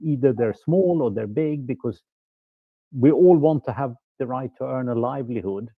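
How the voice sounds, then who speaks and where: A man's voice: mid-range voice, expressive, moderate pace, breathy edge, dry recording. A man who grew up in Sweden, asking long, courteous questions in a conference panel discussion. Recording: dry tinny phone-like line.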